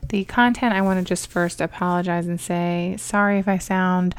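A woman hosting a motivational podcast in an upbeat, encouraging, chatty way, her voice slightly raspy from a cold. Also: lowish voice, flat delivery, normal speed, no echo. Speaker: podcast host.

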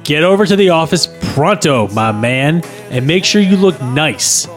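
Chummy, bossy male co-host played by a man, higher-pitched and lively, comedy table read. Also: unhurried, faint music behind. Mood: breezy, bossy. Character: upbeat, schmoozy podcast co-host man, screenplay table read.

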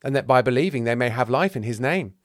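Man playing a smug disciple author, lively intonation. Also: mid-range voice, fast talker, dry recording, clean studio sound, natural voice. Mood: earnest. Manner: smug, evasive. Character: calculating, self-important male disciple writing a book. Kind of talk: comic scripture parody reading.

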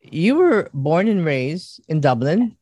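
Higher-voiced male podcast host, dry sound. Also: very animated, warm tone, breathy edge, no room sound. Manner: halting questions with fillers. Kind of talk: casual interview hosting.

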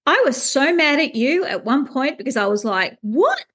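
A woman hosting a book interview, speaking in an effusive, expressive way. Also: high voice, moderate pace. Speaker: interview host.

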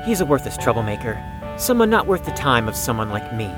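Actor voicing anxious proud teenager, audio drama, formal diction, very lively intonation, flustered stammer, soft music behind. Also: mid-range voice, quick pace, natural voice. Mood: guarded, defensive. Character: anxious, proud, bookish fifteen-year-old chess-playing teenager.

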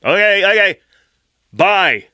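A man's voice: high voice, big pitch swings, slow, sharp tone, no room sound, clean studio sound, full character voice. A man playing a squeamish, childish young boyfriend in a radio comedy sketch, flustered and whiny. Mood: flustered, grumbling.

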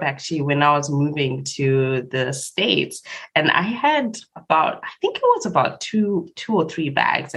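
A young adult woman from Zimbabwe telling travel stories in casual chat, laughing often and pausing with many fillers. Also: on the low side.

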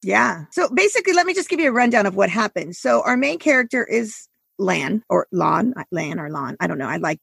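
A woman in her forties with a gravelly, husky voice talks about a book with animated asides. Her voice sits in a middle range. The sound is dry and close. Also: mid-range voice, no room sound. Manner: animated, quick asides and laughs. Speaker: book podcast co-host. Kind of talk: casual book discussion.

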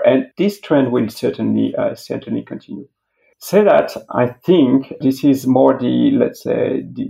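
A middle-aged man with a French accent, a native French speaker, explaining his industry as an interviewed expert in a halting way peppered with filler phrases. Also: medium pitch, creaky, mellow, highs cut off. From France. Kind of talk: interview, explanatory business talk.

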